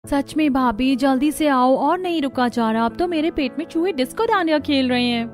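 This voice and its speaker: High-pitched chatty household woman acted by a woman. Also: normal speed, soft background music, lightly acted. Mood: impatient, hungry. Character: women of an Indian household, chatty and opinionated. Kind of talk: Hindi cartoon family-story dubbing.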